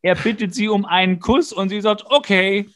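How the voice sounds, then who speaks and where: A man co-hosting a podcast, casually and comically retelling an episode in an animated way with exasperated, slangy outbursts. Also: high-pitched, normal speed. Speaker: podcast co-host. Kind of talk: casual comedic episode recap.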